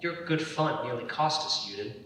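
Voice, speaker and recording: An earnest, dutiful young comrade played by a male actor in a staged drama reading. His mid-pitched voice is bright and tense, set far back in a very echoey room. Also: animated, normal speed. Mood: stern, confronting. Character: stern, dutiful young Soviet man, torn by doubts. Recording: very echoey room, distant, faint noise.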